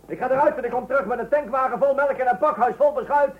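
An actor playing an excitable, fretting husband in a radio play, blustering and theatrical about household matters. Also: male voice, extremely high pitch, fast. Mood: indignant. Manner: blustering, dramatic, emphatic repetition. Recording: muffled narrow-band old radio recording.

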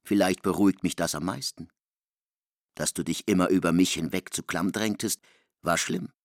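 A man with a gravelly voice narrating literary prose and quoted dialogue in a German radio play. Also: sits low, normal speed, no room sound, studio quality.

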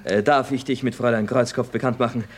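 A man's voice: mid-range voice, steady tone, fast talker, a little hiss or hum, close to an everyday voice. An actor playing a cheeky, flustered young man in a radio play, talking in a glib, stammering, hasty manner. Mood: bluffing, cheeky. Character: flustered, cheeky young bachelor son, a playwright. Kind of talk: German-language radio play acting.

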